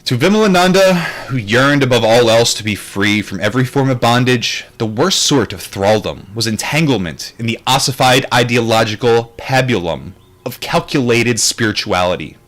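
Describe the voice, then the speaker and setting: A man's voice: mid-range voice, animated, normal speed, few pauses, a bit bright. A man reading a book aloud and adding his own casual, rambling commentary, with wry and reflective asides.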